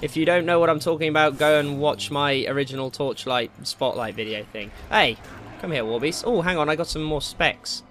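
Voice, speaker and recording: A man giving casual, excitable commentary while playing a video game, jokey and slangy, with exclamations and swearing. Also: on the high side, brisk, a little hiss or hum. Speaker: gaming video host. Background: game music.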